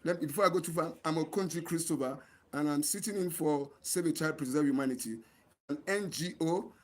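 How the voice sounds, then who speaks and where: A man's voice: fairly high pitch, fairly quick, slight background noise. A man with a Nigerian accent speaking earnestly to viewers as a channel host, repeating himself for emphasis. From Nigeria, Delta State. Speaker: host of an online channel, NGO advocate. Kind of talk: livestream monologue addressed to viewers.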